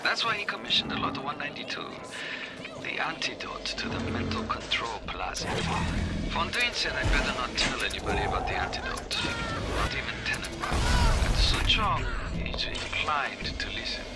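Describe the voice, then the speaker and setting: Game role: abrasive, grasping male scientist, clipped broken English, highly animated delivery, prominent music underneath. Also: normal speed. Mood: frustrated, irritated. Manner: clipped broken English, dropping articles and verbs. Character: abrasive, cynical, greedy male scientist dictating audio diaries. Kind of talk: video game acting, recorded diary monologue. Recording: music playing behind the voice.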